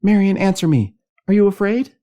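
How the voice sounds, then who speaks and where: A man's voice: animated, normal speed, dark tone, close to an everyday voice. A man voicing a frightened woman in a horror story, calling out urgently in dread. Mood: alarmed calling. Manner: urgent, high-pitched calling.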